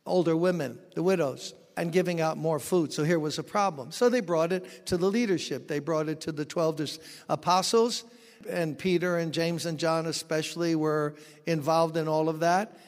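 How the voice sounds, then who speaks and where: A man's voice: on the high side, moderate pace, big-room sound. A pastor preaching a sermon, explaining scripture and telling stories from his own ministry. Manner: expository preaching with personal stories.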